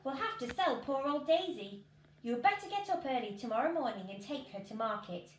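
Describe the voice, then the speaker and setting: A woman's voice: sits high, very animated, brisk. A woman voicing a boy's bossy, exasperated mother in a storybook, emphatic and scolding. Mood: resigned, bossy. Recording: roomy home recording with noise.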